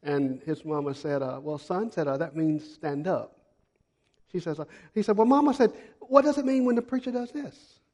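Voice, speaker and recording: A man preaching a sermon as a missionary, telling folksy travel stories, repeating phrases for emphasis and swinging from loud to hushed. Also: on the high side, normal speed. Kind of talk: sermon with storytelling.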